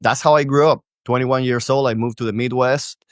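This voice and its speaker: A man's voice: mid-range voice, steady tone, a little fast, dry recording. A man in his thirties from Venezuela, a coach talking as a guest in a friendly, upbeat way on a studio mic. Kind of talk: casual interview chat. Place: small home studio, indoors. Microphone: studio mic on boom arm.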